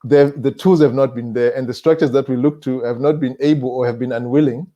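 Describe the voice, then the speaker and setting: A man from Zimbabwe with a Zimbabwean accent speaks in a soft-edged, mellow voice. He is measured and halting as a panelist, repeating phrases for emphasis. The recording is dull and lo-fi. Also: medium pitch, steady tone. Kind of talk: reflective panel discussion.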